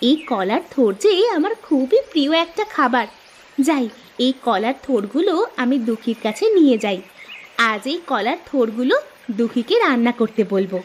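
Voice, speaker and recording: A woman plays a food-loving, carefree cartoon sister in a high voice. She is bossy and brushes worries aside breezily. A little noise sits behind her. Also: sing-song, moderate pace. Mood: cheerful. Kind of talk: scripted cartoon story dialogue.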